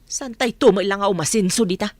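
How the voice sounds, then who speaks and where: A woman's voice: mid-range voice, a little fast, darkish tone, no echo, close to an everyday voice. An actress playing an irritable, scornful middle-aged mother in a radio drama. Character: scornful, irritable mother who disdains churchgoing. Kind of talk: acted radio-drama dialogue.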